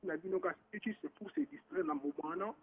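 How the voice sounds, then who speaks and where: A man's voice: high-pitched, animated, quick pace, husky. A man born in Morocco, calling in to a radio show and talking in a rambling, casual way, mixing in Lingala. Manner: rambling, restarts often, mixes French and Lingala. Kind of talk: casual phone-in chat. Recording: phone line on the radio.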